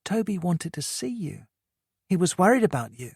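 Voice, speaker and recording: Brief: worried mum, hushed and pleading, gentle coaxing tone, performed by the audiobook reader. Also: female voice, on the low side, very animated, moderate pace, husky, no room sound, studio quality, pitched above the performer's own voice, exaggerated voice. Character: worried, grieving mother, soft and pleading.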